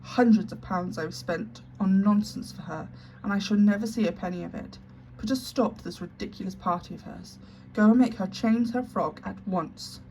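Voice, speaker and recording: A woman's voice: mid-range voice, moderate pace, few pauses, dark tone, slight background noise, close to an everyday voice, rough recording. A woman doing a cold, stern headmistress. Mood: harsh. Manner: harsh, clipped commands.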